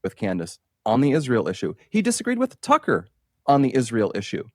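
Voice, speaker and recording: A man in a played clip, calmly explaining events in a measured way. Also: medium pitch, dry recording, clean studio sound.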